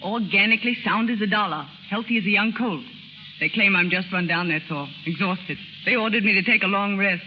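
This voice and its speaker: A woman's voice: medium pitch, fairly quick, bright, forceful tone, lightly acted. An actress playing a spirited, devoted young secretary in love with her boss, in 1930s radio melodrama style. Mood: playful, plucky. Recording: slightly noisy 1930s radio broadcast.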